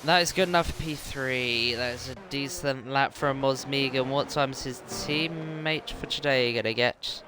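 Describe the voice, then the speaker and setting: A man's voice: mid-range voice, moderate pace, hardly any pauses, noisy background. A man giving play-by-play commentary on an online racing league, his voice worn and tired from streaming, with frequent ums. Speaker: race commentator.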